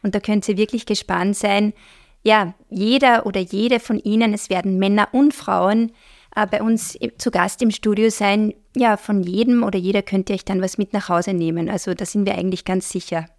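Slightly hoarse woman co-hosting a podcast. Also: mid-range voice, fairly even intonation. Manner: measured, friendly, uses fillers. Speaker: podcast co-host. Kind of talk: casual podcast chat. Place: podcast studio. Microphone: podcast microphone.

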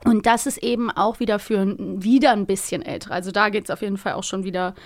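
A woman's voice: medium pitch, fairly even intonation, quick pace, no echo. A woman chatting casually as a podcast host, close to the microphone. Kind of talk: casual chat.

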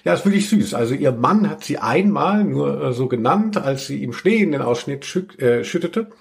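A man's voice: on the high side, expressive, normal speed, vocal fry. A man hosting a podcast in casual chat, rambling and often correcting himself as he goes. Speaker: podcast host.

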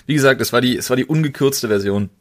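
A man's voice: medium pitch, fast, fairly bright tone, dry recording, clean studio sound. A man co-hosting a podcast, talking in a casual, conversational way. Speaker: podcast co-host.

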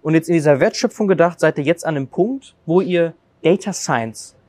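A male podcast host asking upbeat, quick summarizing questions in an interview. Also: on the high side, expressive, darkish tone, a little hiss or hum.

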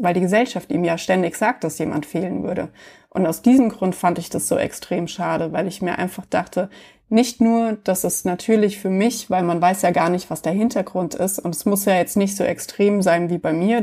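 A woman with a slightly low, gravelly voice recounts her own experiences as an interview guest. She speaks reflectively and hesitantly, often pausing with fillers. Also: warm tone. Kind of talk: personal storytelling in an interview.

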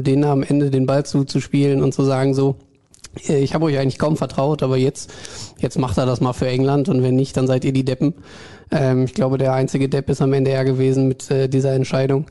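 A man, a sports journalist, calmly discussing football on a podcast with a measured delivery and frequent hesitations. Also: medium pitch. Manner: measured, many hesitation fillers. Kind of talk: casual football podcast chat.

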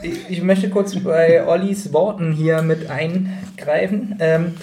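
A man's voice: a little high, steady tone, slow, mellow. A man chatting casually as a podcast co-host. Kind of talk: casual episode discussion. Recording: roomy echo, slight background noise.

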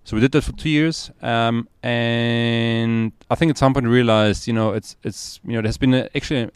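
A man from Austria in his forties, a podcast guest and entrepreneur, talking in a relaxed, hesitant way with many fillers. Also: mid-range voice, normal speed, smooth, no echo, studio quality. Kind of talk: casual interview conversation.

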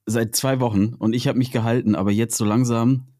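A man's voice: mid-range voice, steady tone, brisk, mellow, no echo, clean studio sound. A man with a cold, co-hosting a casual podcast chat in an easygoing, teasing way. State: has a cold. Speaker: podcast co-host.

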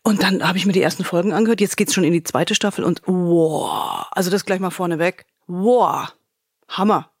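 A woman's voice: lowish voice, moderate pace, husky, no room sound, clean studio sound. A woman co-hosting a podcast in a casual, chatty, effusive way, repeating words for emphasis, with the ease of a radio veteran.

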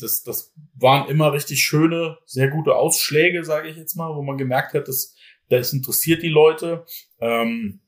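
A man from Bavaria, a football team's general manager, talking casually in a podcast and rambling with many fillers and restarts. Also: mid-range voice.

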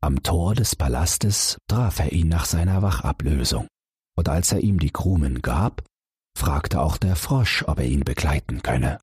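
A male narrator tells a bedtime fairy tale in a calm, expressive manner. His deep voice sounds strongly husky and moves widely in pitch, and the recording is dry and close. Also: unhurried, no echo. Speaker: audiobook narrator. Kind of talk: scripted fairy-tale reading.

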